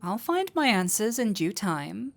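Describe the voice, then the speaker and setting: Slow, flirty fantasy innkeeper played by a woman. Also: mid-range voice, sing-song, gravelly, soft background music. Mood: teasing, amused. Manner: coy, playful, unhurried teasing. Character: flirty, teasing, warm-hearted fantasy tavern innkeeper. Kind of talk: intimate roleplay audio drama.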